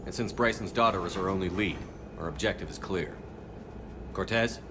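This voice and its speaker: An actor playing a resolute space military commander in a video game, serious, terse and decisive. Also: male voice, low voice, moderate pace, background noise, lightly acted. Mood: resolute, urgent. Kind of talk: video game cutscene dialogue. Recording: long reverb, game music behind.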